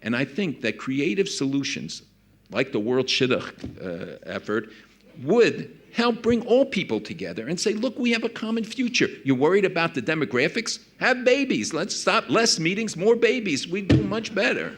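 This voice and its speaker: A man speaking as a panelist at a conference, earnest in tone, his voice climbing high when he recounts conversations. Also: very animated, normal speed. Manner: earnest, rises sharply when recounting dialogue. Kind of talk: panel discussion remarks. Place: conference hall.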